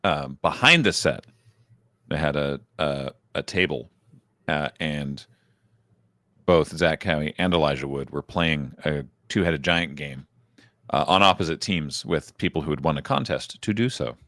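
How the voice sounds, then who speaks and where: A man with a very deep, low voice talks casually on a podcast, wandering through a story with lots of restarts and fillers. The sound is dry and close to the mic. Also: measured pace, pushed and bright, no room sound. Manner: rambling, self-interrupting storyteller. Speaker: podcast co-host. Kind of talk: casual recap chat.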